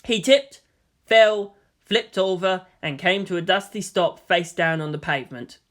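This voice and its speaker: A narrator reads action fiction aloud with dramatic delivery. The voice sits at a medium pitch and has a bright, tense, forceful edge. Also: unhurried. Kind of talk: dramatic scripted story narration.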